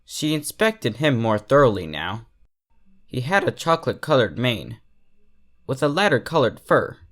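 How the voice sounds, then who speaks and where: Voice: medium pitch, sing-song, normal speed, a bit bright. A storyteller reading scripted fiction aloud as its narrator. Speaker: fan fiction reader, narrator.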